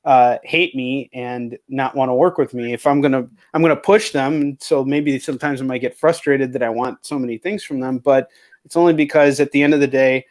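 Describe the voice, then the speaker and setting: A man's voice: mid-range voice, fairly even intonation, fairly quick. A man chatting casually as an interview host, speaking bluntly and swearing often. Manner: blunt, frequently profane. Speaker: host, comic book writer and publisher.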